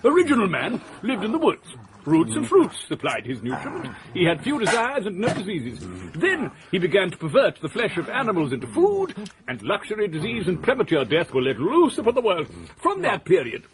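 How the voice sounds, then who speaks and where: A man's voice: sits high, wildly swooping pitch, brisk, crowd noise, rough recording. An actor playing a gloomy male philosopher who declaims on the degeneracy of mankind in a 1980s radio drama. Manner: declamatory, pessimistic.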